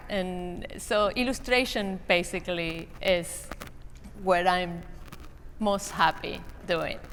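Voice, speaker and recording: Argentine lady on a panel, halting with lots of ums, echoey big hall, faint hiss. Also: mid-range voice, fairly bright tone. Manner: hesitant, many fillers. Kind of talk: casual panel talk. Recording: reverberant convention hall with faint noise.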